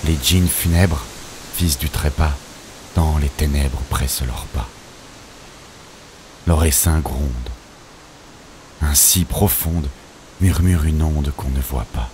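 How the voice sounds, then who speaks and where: A man with an extremely low voice recites dramatic poetry over a clearly audible bed of noisy ambience. His delivery is slow and solemn, with theatrical, measured phrasing. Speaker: poetry reader.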